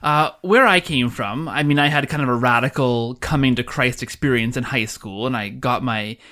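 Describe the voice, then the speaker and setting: A man's voice: medium pitch, moderate pace, pushed and bright. A man hosting a podcast in a conversational, enthusiastic way, introducing and interviewing. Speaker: podcast host.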